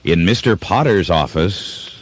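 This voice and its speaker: A man's voice: low voice, animated, measured pace, breathy edge, exaggerated voice. A man narrating a comedic radio play from a script.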